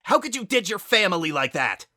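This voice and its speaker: Man voicing a bitter, forceful pony sister, pitched high. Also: big pitch swings, brisk, no echo, studio quality, heavily acted. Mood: furious screaming. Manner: accusing, forceful, loud outbursts. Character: bitter, furious pegasus pony older sister, female.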